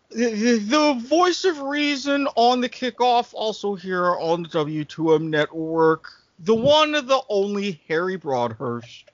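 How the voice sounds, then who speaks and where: A man's voice: sits high, a bit bright, no room sound, muffled recording. A man hosting a comedic podcast with casual banter and a stammering, theatrical showman's delivery. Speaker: podcast host.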